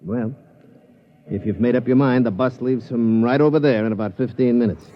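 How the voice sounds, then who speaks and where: A man's voice: mid-range voice, moderate pace, natural voice. An actor playing a streetwise but good-hearted casino tout, with slangy, confiding wise-guy talk in a 1950s radio drama. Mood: resigned, advising. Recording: dull, noisy vintage radio recording.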